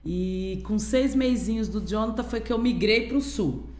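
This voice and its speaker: A woman's voice: medium pitch, unhurried, a little mellow, reverberant. A woman in her forties from Pernambuco, a guest pastor telling her personal testimony candidly in a podcast conversation. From Pernambuco, Brazil. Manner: earnest, candid storytelling.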